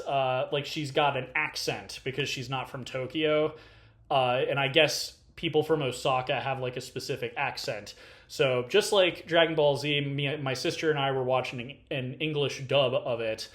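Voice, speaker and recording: A man with a Californian accent chatting casually as a podcast co-host, full of fillers and restarts. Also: on the high side. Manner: rambling, filler-heavy, animated. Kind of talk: casual conversation.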